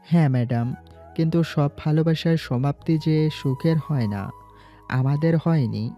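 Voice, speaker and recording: Male actor as calm young student, guarded and polite; muffled lo-fi sound, faint music. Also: on the high side, a little slow. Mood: sad, sincere. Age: young adult. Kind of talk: Bengali audio story acting.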